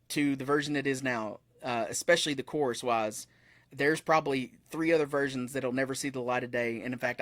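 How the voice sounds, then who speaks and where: A man's voice: mid-range voice, a bit bright, dry recording. Chatty man in his thirties, dry sound. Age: 30s. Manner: rambling, self-correcting, laughs often. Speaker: musician guest. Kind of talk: casual interview chat. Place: room with metal posters behind him.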